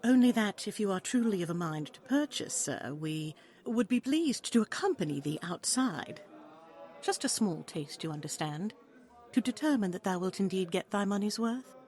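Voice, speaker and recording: A woman's voice: medium pitch, animated, moderate pace, a little mellow, lightly acted. A woman voicing a bold, wily tavern seductress in an audio play, coaxing in formal archaic English. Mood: enticing.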